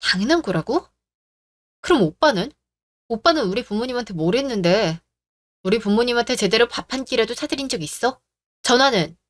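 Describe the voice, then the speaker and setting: A woman voicing a calm, firm young heroine. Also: fairly high pitch, a little fast, long pauses, no echo, close to an everyday voice. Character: calm, firm young woman who stands her ground.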